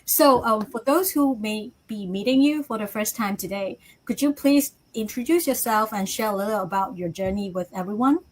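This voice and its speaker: A woman from Malaysia hosting a live online interview, polite and measured as she introduces her guests over a video call. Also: medium pitch, poor audio. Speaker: interview host.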